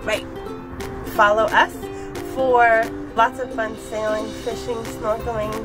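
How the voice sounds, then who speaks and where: A woman's voice: a little high, flat delivery, slow, low-quality recording. A young woman hosting a sailing DIY vlog, chatty and upbeat, often laughing. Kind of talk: casual DIY vlog narration. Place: inside a sailboat cabin. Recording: music playing behind her voice.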